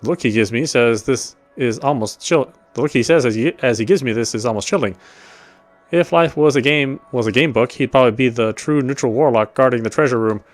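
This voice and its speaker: A man with a mid-pitched voice reads a visual novel's narration aloud. He now and then trips over a phrase and repeats it, with quiet music behind him. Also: a little fast, no room sound. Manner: stumbles and rereads phrases. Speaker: let's player reading game text aloud.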